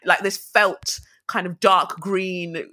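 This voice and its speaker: A woman from Nigeria, a guest on a remote interview, telling lively, self-mocking stories and laughing often. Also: lowish voice, slow pace. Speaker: podcast guest. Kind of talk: casual interview storytelling. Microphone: remote call.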